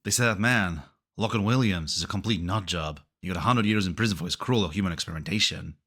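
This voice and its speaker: Casting: male actor for concerned, dutiful staffer, very low bright voice, game dub. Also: quick pace. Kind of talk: scripted game fan dub acting.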